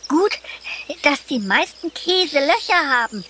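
A scatterbrained young cartoon mouse boy, speaks in a high, bouncy voice at an easy, slow pace. The manner is playful and excitable, fit for a children's radio play. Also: very noisy. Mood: excited. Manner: playful, stammers when flustered, invents cute compound words. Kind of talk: children's audio drama acting.

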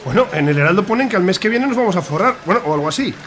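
Radio serial: cheery, joking barman played by an actor, high male voice, rapid colloquial delivery. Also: expressive, a bit bright. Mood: chatty. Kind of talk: radio serial comedy acting.

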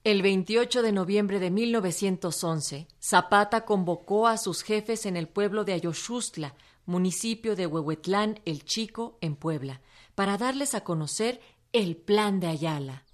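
A woman's voice: medium pitch, a little slow. A woman delivering scripted narration for a documentary radio drama.

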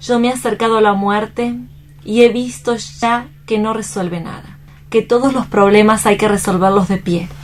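A woman's voice: medium pitch, steady tone, moderate pace, a little hiss or hum, close to an everyday voice. An actress playing a distraught but resolute young woman in a radio drama, speaking earnestly and solemnly. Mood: sorrowful. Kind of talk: dramatic staged radio reading.

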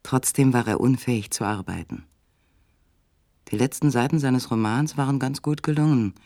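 A man narrating a radio play in a sober, measured, literary style. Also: medium pitch, a little mellow, dry recording, clean studio sound.

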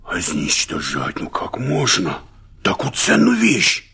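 An actor playing a sly rustic militiaman in a drama, pleading, with a Ukrainian accent and a husky voice. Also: sits low, wildly swooping pitch, unhurried, sharp tone, exaggerated voice.

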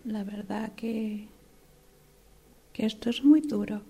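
Una actriz de radioteatro que interpreta a una exgerente de mediana edad, humillada y desesperada por conseguir trabajo, con habla vacilante y deferente. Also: female voice, medium pitch, steady tone, mellow, breathy edge. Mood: desperate, pleading. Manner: hesitant, halting, deferential. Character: desperate middle-aged female job seeker, humbled former manager. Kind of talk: radio drama acting.

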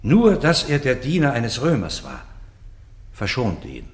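An actor playing a dignified, defeated Roman general, speaking in noble, measured verse in a radio play. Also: male voice, medium pitch, wildly swooping pitch. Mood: earnest pleading. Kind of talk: classical verse radio drama acting. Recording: echoey, roomy studio sound.

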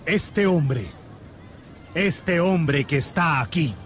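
An actor playing a serious, resolute musketeer in a radio drama. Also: male voice, fairly high pitch, animated, drawn-out words, faint music behind. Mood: resolute, urgent.

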